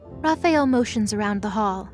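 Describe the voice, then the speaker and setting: A woman's voice: mid-range voice, lively intonation, normal speed, darkish tone, soft background music. A woman reading scripted third-person narration for a fantasy audio drama.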